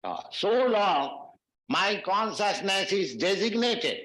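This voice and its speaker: Male spiritual lecturer, raised pitch, deliberate phrasing, echoey archive recording. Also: very animated, fairly bright tone. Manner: slow, measured phrasing with Sanskrit verses. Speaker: spiritual teacher giving a lecture.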